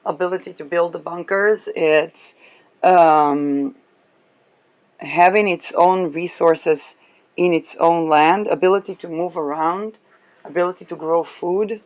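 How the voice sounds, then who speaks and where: Astrology reader, unhurried, gravelly, rambling, chuckly, dull lo-fi sound. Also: mid-range voice. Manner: rambling, chuckles often between remarks. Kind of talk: casual unscripted astrology talk.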